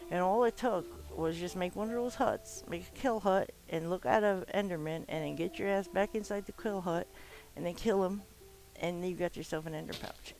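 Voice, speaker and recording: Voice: mid-range voice, rough recording. A nasal, stuffed-up gamer chatting casually through a let's play, rambling with lots of fillers and the odd swear word. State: stuffed-up from swimming. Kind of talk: casual gameplay commentary.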